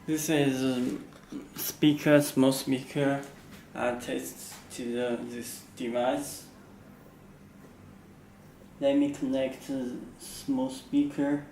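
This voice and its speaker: A man who is not a native English speaker, giving an unscripted product walkthrough in halting, simple phrases with frequent pauses. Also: mid-range voice, little pitch movement, darkish tone. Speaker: product demonstrator. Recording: faint background noise.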